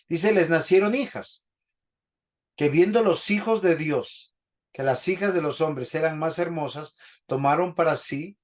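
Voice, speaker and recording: A man teaching a Bible class in a church, preaching and putting questions to the congregation. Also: fairly high pitch. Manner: didactic, asks the congregation questions.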